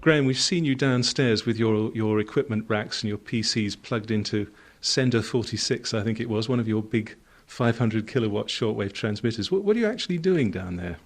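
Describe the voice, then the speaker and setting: A man's voice: on the low side, expressive, moderate pace, hardly any pauses. A man interviewing guests on radio in a conversational, inquisitive and informal way.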